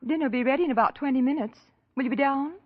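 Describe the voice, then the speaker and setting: An actress in an old-time radio drama playing a brisk, nosy society hostess, gushing and bossy. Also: female voice, sits high, no room sound, lightly acted. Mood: chatty. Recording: muffled old radio recording.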